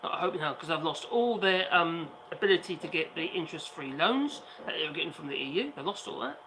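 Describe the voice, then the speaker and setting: Hoarse, high-voiced sarcastic man. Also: expressive, quick pace, bright, forceful tone, a little hiss or hum, rough recording. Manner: sarcastic, rhetorical questions. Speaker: co-host, video channel maker. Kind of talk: casual livestream chat. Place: outdoors on an island, sitting on cardboard.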